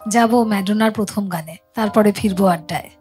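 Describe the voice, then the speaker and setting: A woman's voice: mid-range voice, brisk, darkish tone. A woman hosting a music chat show in a studio, talking casually to viewers and guests.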